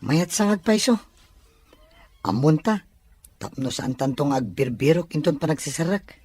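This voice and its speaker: A teasing, friendly young Filipino student lad acted out by a woman in an audio drama. The pitch is very low for a woman, with a chummy way of talking. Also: animated, normal speed, mellow, slightly rough, no room sound. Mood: agreeable. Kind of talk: amateur Ilocano audio drama acting.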